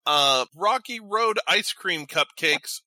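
A man's voice: high-pitched, lively intonation, slow, bright, forceful tone, no echo, clean studio sound. A man co-hosting a casual group show, reading out choices and chatting between them. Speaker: co-host reading out debate choices.

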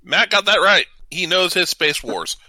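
A man co-hosting a casual radio talk show, reading out trivia with halting introductions. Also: sits high, sing-song, sharp tone, no echo.

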